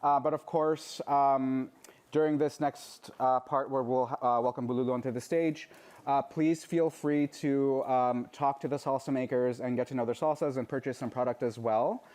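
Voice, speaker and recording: A man's voice: medium pitch, steady tone, moderate pace, a little mellow. A man hosting a live event on stage, introducing guests and asking questions with frequent ums and uhs. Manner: hesitant, many ums and uhs. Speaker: event host.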